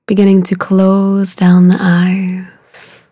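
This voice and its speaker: A woman leading a guided meditation in a soothing, unhurried way, with a soft, breathy voice and long pauses between short phrases. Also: medium pitch, completely flat delivery, no room sound, clean studio sound.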